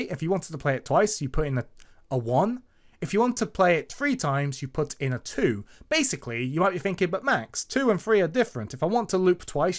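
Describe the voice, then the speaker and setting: A man's voice: on the high side, a bit bright. A man hosting an informal step-by-step tutorial, explaining things in an emphatic, sing-song way. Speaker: tutorial host.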